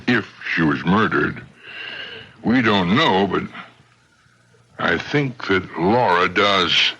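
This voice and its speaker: A measured skeptic talks slowly in a radio mystery. His deep voice is sharp and gravelly, on a dull old radio recording. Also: lively intonation, full character voice. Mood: measured, skeptical. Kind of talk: radio mystery drama acting. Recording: muffled vintage radio drama recording.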